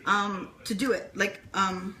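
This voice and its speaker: A woman's voice: medium pitch, steady tone, slow, sharp tone, slight background noise, low-quality recording. A woman speaking as an interview guest, telling a personal story in an earnest, emphatic way with repeated phrases. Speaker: interview guest, fan club founder.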